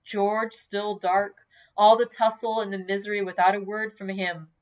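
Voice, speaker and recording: A woman reading a novel in the voice of an earnest, tender old man who is quick to heat up. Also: medium pitch, flat delivery, natural voice, low-quality recording. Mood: impassioned. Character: impassioned saintly old man, tender, hot-tempered, earnest.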